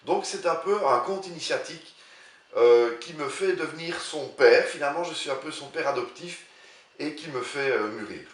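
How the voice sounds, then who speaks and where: A man in his sixties, an author answering interview questions in a halting way, often repeating words as he searches for them. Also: a little high, recorded from a distance. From Belgium. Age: 60s. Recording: roomy sound, voice a bit off-mic.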